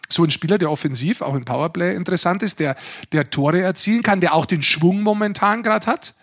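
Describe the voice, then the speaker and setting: A man's voice: high-pitched, a little fast, a bit bright. A man co-hosting a sports podcast, giving casual but emphatic analysis and repeating phrases for stress. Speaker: podcast co-host.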